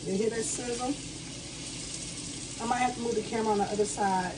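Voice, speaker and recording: A chatty woman with a slightly high voice walks her viewers through a recipe at her home stove. She talks casually and calls them y'all. The recording picks up clear kitchen noise and sizzling food from a camera on the counter. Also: steady tone, fairly quick. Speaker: home cook vlogger. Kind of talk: casual cooking demonstration. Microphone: camera on a counter nearby.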